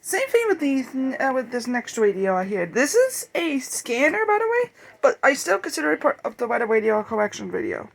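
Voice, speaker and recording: Someone casually showing off a collection in a home-made vlog, talking in a halting, rambling way. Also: sits high, big pitch swings, vocal fry. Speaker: video creator showing a radio collection. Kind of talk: casual show-and-tell vlog.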